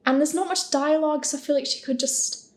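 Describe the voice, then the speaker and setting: A woman chatting casually in a group Q&A, giggly and tentative as she thinks out loud. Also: high voice, fairly even intonation, brisk. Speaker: podcast voice actor and panel guest.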